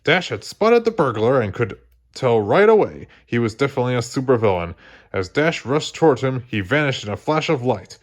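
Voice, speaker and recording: A man narrating a children's story aloud in an expressive read-along style. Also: medium pitch, fairly quick. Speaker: storybook reader.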